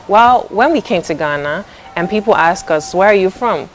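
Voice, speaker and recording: A woman's voice: mid-range voice, animated, moderate pace, slight background noise, low-quality recording. A woman from the Netherlands in her thirties, a native Dutch speaker, telling her story in a casual interview. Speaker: guest, family vlogger.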